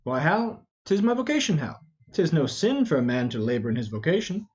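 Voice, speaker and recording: A man's voice: fairly high pitch, very animated, fairly quick. A man voicing a blustering, roguish old knight in an amateur Shakespeare reading, full of mock-indignant rhetoric. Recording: dull, lo-fi.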